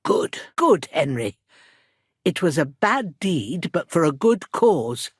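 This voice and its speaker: An old village mother in a dramatic video game. Her voice is weathered and husky, recorded close and dry. She speaks slowly and earnestly, plain-spoken and heavy with care. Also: low-pitched, big pitch swings, no room sound, full character voice. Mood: grim, subdued.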